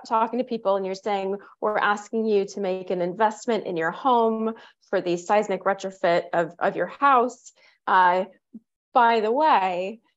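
A woman moderates a panel and lays out points in a measured, explanatory way. The sound is muffled and lo-fi. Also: medium pitch. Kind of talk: panel discussion.

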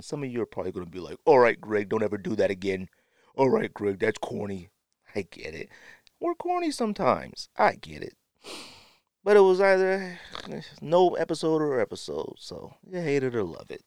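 A man's voice: mid-range voice, huge pitch swings, brisk, darkish tone, dry recording, studio quality. A middle-aged man hosting a podcast in a casual, opinionated monologue, speaking emphatically and repeating phrases for effect. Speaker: podcast host.

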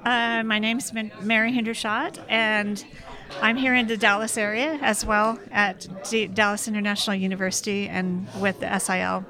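A woman's voice: medium pitch, flat delivery, moderate pace, pushed and bright. A woman ethnomusicologist describing her past work in a matter-of-fact way.

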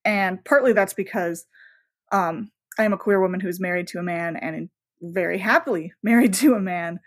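A woman's voice: lowish voice, moderate pace. A woman talking casually as a podcast guest, informal and effusive, with emphatic asides. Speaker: podcast guest, romance novelist. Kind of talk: casual, chatty podcast interview.

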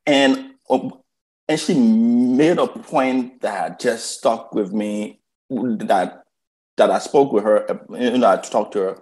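A man from Liberia, a podcast guest telling stories in a slow, emphatic way and often repeating words as he starts a thought. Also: mid-range voice, darkish tone, rough recording. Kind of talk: reflective conversational storytelling.

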